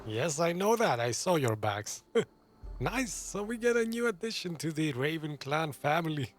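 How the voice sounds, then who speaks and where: A man's voice: on the high side, very animated, moderate pace, slight background noise. A man streaming a game, giving short, casual reactions and commentary over the action. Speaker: gaming streamer. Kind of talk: casual live gameplay commentary.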